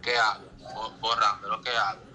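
A man's voice: fairly low pitch, flat delivery, a little fast, pushed and bright. A man with a Dominican accent arguing defensively over a phone on speaker, repeating himself in short bursts. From Dominican Republic. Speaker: prank call victim. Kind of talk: argumentative phone conversation. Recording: noisy, harsh phone call over speaker.

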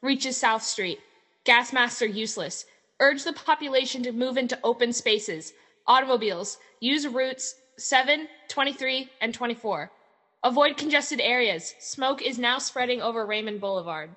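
A woman's voice: fairly high pitch, normal speed, sharp tone. A woman playing an urgent, authoritative emergency announcer in a radio drama, with clipped delivery. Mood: urgent warning. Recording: long echoing reverb.

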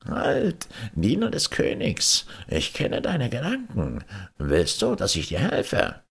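A sly old witch from a fairy tale , acted by a man. The voice is high, breathy and creaky, and it swoops up and down as she schemes. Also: huge pitch swings, slow pace, exaggerated voice. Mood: sly scheming.

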